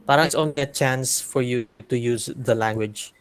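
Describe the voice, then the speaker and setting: A man's voice: medium pitch, normal speed, clean tone, no room sound. A man in a casual group discussion on a video call, chatty and laughing, mixing languages with many fillers.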